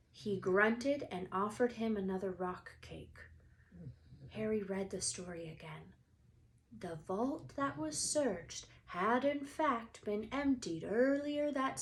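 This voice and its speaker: A woman's voice: a little high, poor audio. A woman narrating a children's book as a read-aloud storyteller. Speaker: storybook reader.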